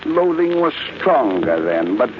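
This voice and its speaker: An actor playing a bitter, mocking old family patriarch in a theatrical old-time radio drama style. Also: male voice, medium pitch, big pitch swings. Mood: bitter, taunting. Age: older. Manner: theatrical, sardonic, drawn-out taunting. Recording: noisy muffled vintage radio recording.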